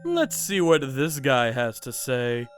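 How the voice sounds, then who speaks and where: A man's voice: medium pitch, huge pitch swings, slow pace, smooth, soft background music. A man voicing a cold, vengeful vigilante who narrates his revenge in a manga dub, menacing and mocking. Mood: cold, contemptuous. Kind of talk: first-person manga dub narration with acted dialogue.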